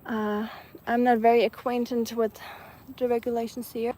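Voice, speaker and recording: A woman from Germany with a German accent, chatting casually and softly outdoors, with many ums. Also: a little high, little pitch movement, moderate pace, rough recording. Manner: soft-spoken, chatty, many ums, laughs lightly. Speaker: vlogger. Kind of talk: casual live chat outdoors. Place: outdoors in the evening, nature sounds around.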